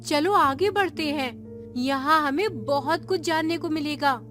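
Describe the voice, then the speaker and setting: A woman playing a determined, earnest space explorer in an animated story. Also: high voice, a little slow. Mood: eager. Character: determined female space explorer leading her team. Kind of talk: acted story dialogue. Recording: music behind the voice. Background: music.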